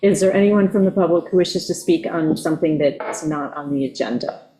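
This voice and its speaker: A woman with a sore throat, losing her voice, chairing a town board work session with halting, hesitant speech. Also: deep voice, dark tone, poor audio. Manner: halting, many ums. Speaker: town supervisor chairing a meeting. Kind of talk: chairing a public meeting.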